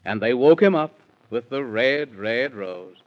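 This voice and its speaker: A man's voice: medium pitch, animated, measured pace. A man narrating a children's tale in singsong rhyme, theatrical, in 1930s radio style. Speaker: storyteller, narrator. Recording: muffled old 1930s radio recording.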